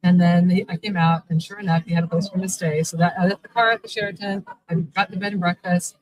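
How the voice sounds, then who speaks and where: A woman's voice: lowish voice, fairly even intonation, quick pace, low-quality recording. Chatty middle-aged woman telling a story. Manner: chatty, rambling, many restarts. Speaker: guest, vampire shop owner. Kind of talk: casual storytelling in a live interview. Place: convention event.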